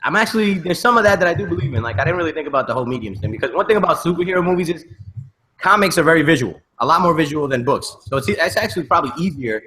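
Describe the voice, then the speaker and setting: A man hosting a casual panel discussion, opinionated and animated, checking in with rhetorical questions over a video call. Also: a little high, very fast, a little hiss or hum, rough recording. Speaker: podcast host.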